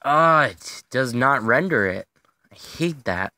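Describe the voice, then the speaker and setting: A man's voice: mid-range voice, expressive, slow pace, sharp tone, no room sound. A young man giving casual mobile gameplay commentary to his viewers, his voice strained and fading, chatty and easily frustrated with the game. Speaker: gaming video host.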